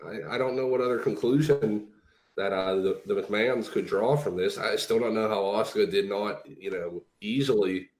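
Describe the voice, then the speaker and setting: Stammering low-voiced man, echoey room. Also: moderate pace, darkish tone. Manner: stammering, repeats words before getting going. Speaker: podcast co-host. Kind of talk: casual wrestling talk.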